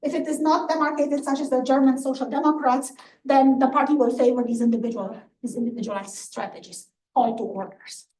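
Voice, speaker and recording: Woman academic lecturer, high voice, emphatic self-interrupting explainer, heard from a distance in an echoey room. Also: steady tone, a little fast, warm tone. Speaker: professor giving a lecture. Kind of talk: academic lecture and question answering. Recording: echoey room, distant mic, background noise.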